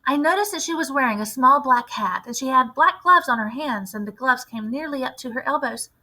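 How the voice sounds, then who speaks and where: A woman narrates a children's story with a bright, fairly high voice. Her phrasing is deliberate and expressive, as a teacher reads aloud. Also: rough recording.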